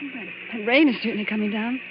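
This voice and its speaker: A woman's voice: a little high, quick pace, lightly acted. An actress playing a frightened, apologetic young female airline passenger, hesitant and anxious, in 1940s radio drama style. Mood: worried, questioning. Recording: muffled old radio, loud engine noise.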